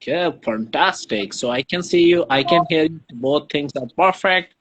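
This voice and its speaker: Male livestream host, Pakistani accent, higher-pitched voice, effusive and wordy, casual interviewing style. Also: expressive, normal speed, a bit bright. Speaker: live stream host.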